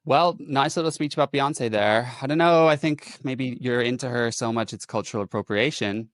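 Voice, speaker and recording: A man's voice: medium pitch, moderate pace, no echo. A young man hosting a podcast, chatting casually with wry, dry remarks. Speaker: podcast host. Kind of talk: casual conversation.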